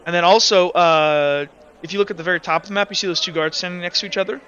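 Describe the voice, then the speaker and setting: A man narrating a tabletop game as game master, halting often with fillers while he describes the scene. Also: high-pitched, fairly even intonation, a bit bright, clean tone. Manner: rambling, halting with many fillers. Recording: dull lo-fi mic.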